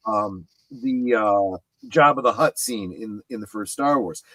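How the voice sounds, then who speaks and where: A middle-aged man chatting casually on a fan discussion show, halting yet emphatic as he explains things in detail. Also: lowish voice, bright, forceful tone, no echo, low-quality recording. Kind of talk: casual chat about films and memorabilia.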